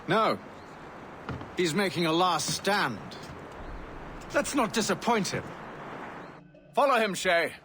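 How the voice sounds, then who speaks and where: An actor playing a composed Templar grandmaster in a sea battle in a video game, formal and commanding. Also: male voice, high voice, very animated, takes it slowly, pauses often, heavily acted. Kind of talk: dramatic video game acting. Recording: loud music and battle sounds. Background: loud music, artillery.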